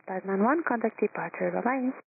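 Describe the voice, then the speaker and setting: A woman working as an airport tower controller, giving clipped, courteous clearances in standard phraseology over the radio. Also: mid-range voice. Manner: brisk, procedural, courteous. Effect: radio transmission. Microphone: air traffic radio. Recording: tinny narrowband radio with faint static.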